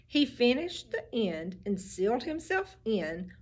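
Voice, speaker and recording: A teacher reading a picture book aloud, with expressive storybook delivery and little asides about the pictures. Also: female voice, on the high side, a little slow.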